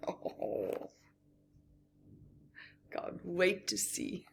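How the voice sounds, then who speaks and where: A woman reading a picture book aloud to young children, expressively, with asides to her listeners and hushed whispers. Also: on the low side, sing-song, creaky, a little hiss or hum. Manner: acts out characters, pausing for asides to listeners. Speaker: children's story reader.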